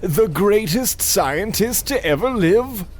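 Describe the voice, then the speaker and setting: A man's voice: high voice, expressive, slow, a little hiss or hum. A man playing a boastful, self-important scientist in a gothic radio comedy, speaking with melodrama. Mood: grandiose. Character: grandiose, boastful male mad scientist. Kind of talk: parody of old gothic radio drama.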